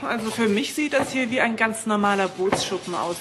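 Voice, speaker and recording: An actress playing a confident, sharp-eyed adventuress in an audio drama, brisk and self-assured, recorded with long reverb. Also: female voice, mid-range voice, noisy background, natural voice. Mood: practical. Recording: long reverb under music.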